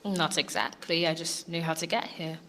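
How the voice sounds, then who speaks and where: A woman's voice: sits low, fairly even intonation, normal speed, bright, forceful tone, a little hiss or hum. An actress playing a curious, enigmatic young woman of the forest, terse and direct, in an audio drama. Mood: curious, guarded.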